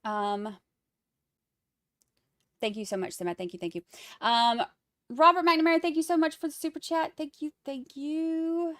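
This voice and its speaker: A woman's voice: high-pitched, moderate pace, pauses often, fairly bright tone, dry recording. Chatty Boston woman, dry close sound. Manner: chatty, wry, rambling asides. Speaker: livestream trial commentator. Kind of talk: casual live chat, reading viewer comments.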